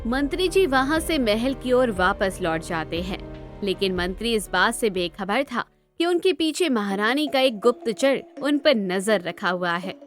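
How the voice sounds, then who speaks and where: High-pitched, expressive woman storyteller. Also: unhurried, faint music behind. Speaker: narrator. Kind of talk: scripted Hindi bedtime story narration.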